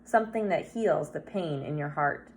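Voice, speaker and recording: A woman voicing a kindly, sorrowful old woman in a children's storybook, soft-spoken and gentle, on a noisy recording. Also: low-pitched, normal speed. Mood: tender, sad. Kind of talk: children's novel read-aloud.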